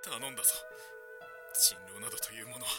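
Casting: actor playing a grieving head of government, formal and grim, mid-pitched, loud music behind. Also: male voice, steady tone, fast, close to an everyday voice. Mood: bitter. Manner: formal speech-making, grim. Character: grieving, vengeful male prime minister. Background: background music.

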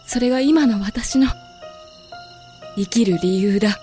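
A woman's voice: mid-range voice, measured pace, mellow, faint music behind, close to an everyday voice. A woman playing a tough, protective warrior with blunt, masculine phrasing in a Japanese voice drama. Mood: resolute, urgent.

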